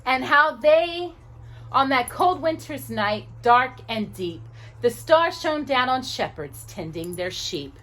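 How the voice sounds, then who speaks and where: A woman's voice: sits high, expressive, takes it slowly, a bit bright. A woman reading a children's picture book aloud expressively, with casual asides to the listener.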